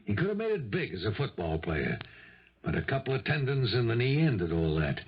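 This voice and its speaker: A reluctant, blunt police lieutenant in a 1970s radio mystery. His gravelly voice swings widely in pitch and stumbles when he is cornered. The broadcast sound is dull and dated. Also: sing-song, a little fast. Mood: frank, sympathetic.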